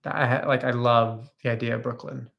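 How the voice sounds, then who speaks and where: A man's voice: mid-range voice, fairly even intonation, moderate pace, vocal fry, a bit bright. A man in his thirties co-hosting a casual live chat, reading viewer comments in an upbeat, talkative way with easy laughs. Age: 30s. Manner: chatty and upbeat, laughs easily. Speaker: co-host of a live chat stream. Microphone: phone front camera.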